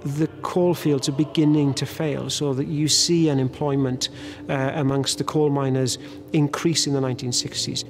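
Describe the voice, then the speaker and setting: A man's voice: mid-range voice, level tone, normal speed, background noise. A man in a documentary interview laying out a historical argument, repeating words as he builds his points.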